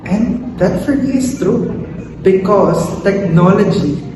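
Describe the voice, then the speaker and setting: A man's voice: high voice, slow pace, noisy background. A young man with a Filipino accent, a student speaking earnestly about a quote that struck him. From Philippines. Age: young adult. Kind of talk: reaction video commentary. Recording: distant, echoey hall with music.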